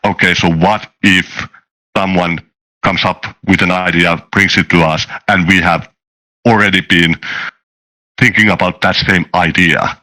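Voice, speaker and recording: A man's voice: low-pitched, steady tone, unhurried, sharp tone, poor audio. A man in a casual panel discussion, speaking in a hesitant, stop-start way and repeating words. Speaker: game designer with a software background.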